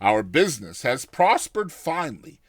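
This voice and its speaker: A man giving a theatrical, expressive dramatized narration of a short story. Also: mid-range voice, normal speed, no echo, clean studio sound.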